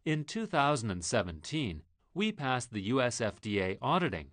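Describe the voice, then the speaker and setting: A man's voice: mid-range voice, sing-song, normal speed, fairly bright tone, no room sound. A man narrating a scripted company promotional video in a formal presentational tone. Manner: reads company facts and lists in a formal presentational tone. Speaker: corporate voice-over narrator.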